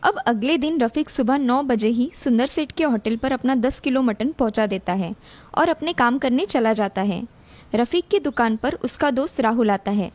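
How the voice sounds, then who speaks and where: High-voiced woman telling a scripted story. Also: animated, normal speed, darkish tone. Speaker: story narrator. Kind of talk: scripted Hindi children's story narration. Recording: music behind the voice. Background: background music.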